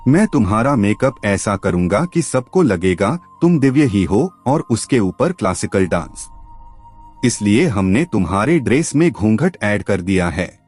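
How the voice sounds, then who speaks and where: A man voicing a brisk, scheming makeup artist who gives assured instructions in an audio story. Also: lowish voice, a little mellow, slightly rough, exaggerated voice.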